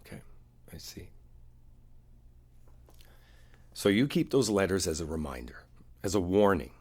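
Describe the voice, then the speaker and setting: Casting: male actor as earnest, hurt but devoted boyfriend for intimate roleplay audio; very low, husky voice, confiding delivery with pauses. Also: animated, darkish tone. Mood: quiet concern. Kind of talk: boyfriend ASMR roleplay, intimate one-sided dialogue.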